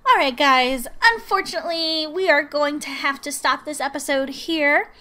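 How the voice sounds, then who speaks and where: A woman's voice: sits high, normal speed, bright, forceful tone. A woman hosting a gaming video, talking to viewers about a visual novel. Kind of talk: visual novel let's play, reading aloud. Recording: music behind the voice. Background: game music.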